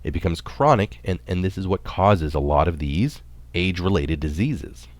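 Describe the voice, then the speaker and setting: A man reading a health article aloud in a measured, explanatory manner, sometimes adding his own notes. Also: deep voice, expressive, darkish tone, dry recording.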